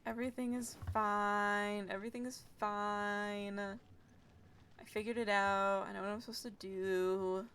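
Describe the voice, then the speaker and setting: Streamer: young woman, sharp bright tone, slightly slow pace, casual sarcastic self-mocking commentary. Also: medium pitch, little pitch movement, slight background noise. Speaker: game streamer. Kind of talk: casual live gameplay commentary.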